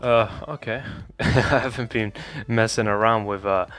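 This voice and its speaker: A young man with a slightly hoarse voice casually talking viewers through a building tutorial, addressing them as guys. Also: fairly low pitch, fairly even intonation, measured pace, a bit bright. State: slightly hoarse, losing his voice. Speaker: Minecraft building tutorial creator. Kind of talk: casual gameplay tutorial commentary. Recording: faint background music.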